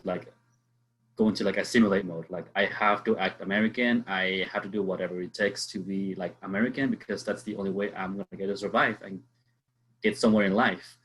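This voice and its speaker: A man from Mexico answering as a student panelist, earnest and halting with fillers. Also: lowish voice, rough recording.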